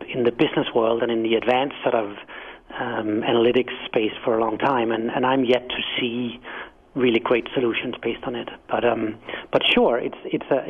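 A man's voice: mid-range voice, breathy edge. A man from Denmark with a Danish accent, a guest author answering questions in a radio interview, thoughtful, with frequent restarts and fillers. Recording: phone-line audio.

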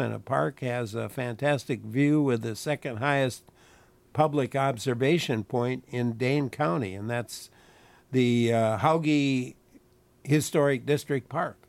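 A man's voice: mid-range voice, gravelly, dry recording. A man hosting a call-in radio show, friendly, with some hesitant filler. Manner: friendly, fills gaps with hesitations. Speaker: radio talk show host.

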